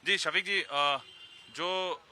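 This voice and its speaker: A man's voice: sits high, extremely slow, pushed and bright, a little hiss or hum. A man reporting live on location for the news, speaking as a field reporter.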